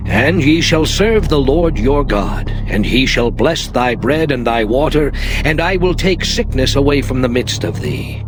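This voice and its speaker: Male Bible narrator, solemn, measured, declamatory, animated intonation, dramatized scripture reading, prominent background music. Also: medium pitch, poor audio. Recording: music behind the voice.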